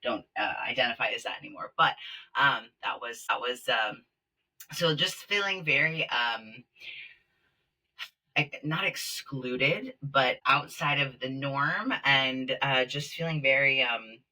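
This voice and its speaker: A man raised in Kenya, a podcast guest in casual interview chat, talking with many fillers and growing lively as he retells stories. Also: medium pitch, takes it slowly, far from the mic, rough recording. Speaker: podcast guest, company founder. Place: small closet studio, in person.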